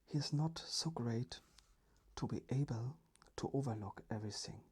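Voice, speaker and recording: A storyteller reading a children's story in a hushed, careful ASMR whisper. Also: medium pitch, normal speed, slightly rough.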